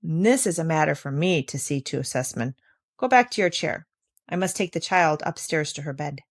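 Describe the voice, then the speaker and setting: A woman reading aloud voices a kindly older male doctor in a storybook, speaking in a gentle, soothing way. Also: sits low, animated, moderate pace.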